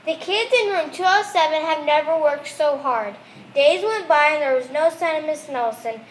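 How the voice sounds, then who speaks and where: A woman's voice: sits high, moderate pace, a little hiss or hum. A woman reading a children's story aloud as narrator. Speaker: storybook reader. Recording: echoey room.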